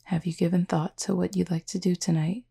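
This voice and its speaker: A woman playing a gentle, formal cupid roommate in an intimate roleplay audio, speaking softly and breathily with measured, teasing politeness. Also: fairly low pitch, little pitch movement, full character voice. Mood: tender, calm. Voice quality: soft, breathy, slightly husky. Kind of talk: intimate roleplay audio acting, addressed to listener.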